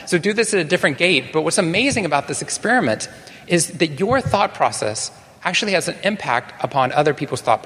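A man from Texas speaks from a conference stage with a somewhat high voice. He is animated and uses dry, self-deprecating humor. His voice carries a long reverb through the big hall. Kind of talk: keynote talk to a live audience.

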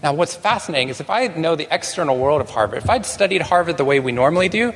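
A man from Texas speaks from a conference stage with a somewhat high voice. He is animated and uses dry, self-deprecating humor. His voice carries a long reverb through the big hall. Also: fast talker, slight background noise. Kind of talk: keynote talk to a live audience.

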